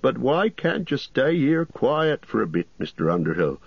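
A man's voice: medium pitch, very animated, normal speed, gravelly, slightly rough, no echo, dull audio, voice pushed up, exaggerated voice. A man voicing a fussy, flustered middle-aged innkeeper, chattering in rustic turns of phrase, in a storybook reading. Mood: alarmed.

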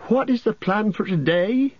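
A man's voice: high-pitched, lively intonation, normal speed, husky, no echo, lo-fi sound, voice pushed up. Pippin, a man doing a chatty young hobbit. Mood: cheerful. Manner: cheerful, talkative.

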